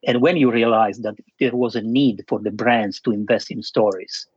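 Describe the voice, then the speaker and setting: A man hosting a webinar interview, speaking in a structured way with frequent pauses. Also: mid-range voice, steady tone, husky, no room sound, muffled recording. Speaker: host.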